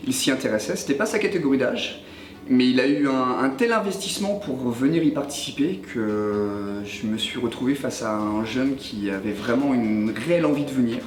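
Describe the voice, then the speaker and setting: A man in his forties, a youth football coach, speaking in a measured interview with frequent hesitations. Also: medium pitch. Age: 40s. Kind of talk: documentary interview. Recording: roomy, distant mic.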